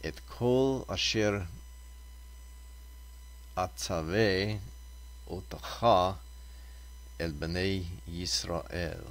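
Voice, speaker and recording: A man reading Torah scripture aloud as a reader, deliberately, in short separated phrases. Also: deep voice.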